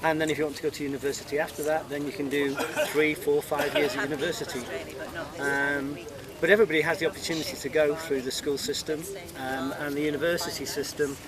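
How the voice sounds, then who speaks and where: A man's voice: fairly high pitch, little pitch movement, fast talker. A British man from the UK answering questions in a casual street interview, friendly and chatty with many fillers and restarts. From United Kingdom. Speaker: tourist interviewee. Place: outdoors at a busy tourist site. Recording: distant mic with crowd noise. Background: crowd chatter.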